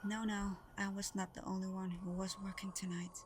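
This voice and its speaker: Soft, half-whispering young daughter of Santa, played by a woman. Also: mid-range voice, little pitch movement, moderate pace, close to an everyday voice. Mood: warm, reminiscing. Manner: soft, tender, hesitant, partly whispered. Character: Santa's gentle adopted adult daughter, shy, affectionate sleigh driver. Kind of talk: intimate ASMR roleplay, soft-spoken and breathy. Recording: clearly noisy background ambience.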